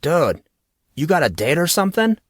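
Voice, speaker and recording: A man's voice: a little high, very animated, moderate pace, no echo, studio quality, voice pushed up. A man voicing a wisecracking, irreverent teenage boy in an audiobook, with slangy, cheeky delivery.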